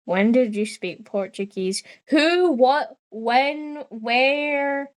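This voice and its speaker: Brief: playful woman host, slightly high voice, teasing, jokey banter. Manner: giggly, teasing, deliberately jokey. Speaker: show host. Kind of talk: casual chat with a chatbot.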